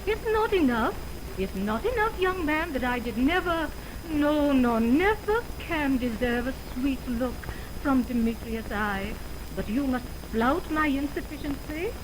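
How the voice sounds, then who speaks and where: A woman's voice: sits high, lively intonation, a little slow. A woman playing a wounded, scorned young lady in a Shakespearean radio drama, with dignified, aggrieved theatrical delivery, on a 1920s recording. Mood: hurt, indignant. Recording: noisy 1929 optical film radio recording.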